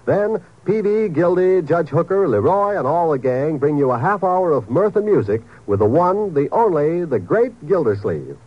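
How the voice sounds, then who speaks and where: 50s radio announcer guy doing promos, husky, lively sell, muffled old recording. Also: fairly high pitch, moderate pace, hardly any pauses. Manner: polished salesmanlike delivery. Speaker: network announcer. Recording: dull 1950s radio recording.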